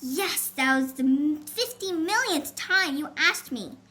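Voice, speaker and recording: A woman voicing a feisty, stubborn twelve-year-old girl in a storybook, excitable and snappy. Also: high voice, unhurried, exaggerated voice.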